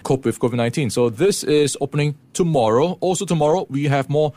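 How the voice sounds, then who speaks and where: A man presenting a morning business news briefing, explaining the week's events in a steady way with occasional fillers. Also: on the high side, fairly quick, no room sound. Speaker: radio presenter.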